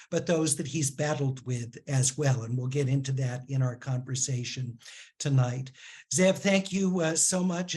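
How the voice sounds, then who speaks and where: A man interviewing a guest in a live onstage book conversation, speaking in a measured way with fillers. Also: fairly high pitch, darkish tone, slightly rough.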